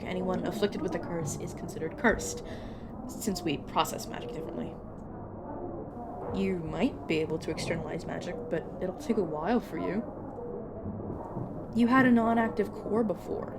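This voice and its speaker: A woman playing a brisk, casual captor explaining things wryly and patiently in an audio roleplay, talking straight to the listener. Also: lowish voice, heavy background noise, close to an everyday voice. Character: brisk, casual female magic-wielding captor and guide. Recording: loud sound effects and ambience behind.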